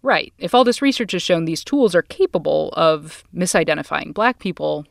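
A woman's voice: sits low, animated, moderate pace, creaky, no room sound, clean studio sound. A woman podcast host chatting casually, reacting, recapping and asking questions. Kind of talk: casual conversational co-hosting.

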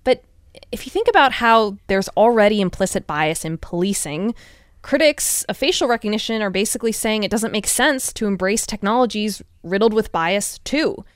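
A woman with a mid-pitched voice reports on a science podcast. She explains things conversationally, and the sound is very dry, with no room around it. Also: animated, moderate pace, no room sound.

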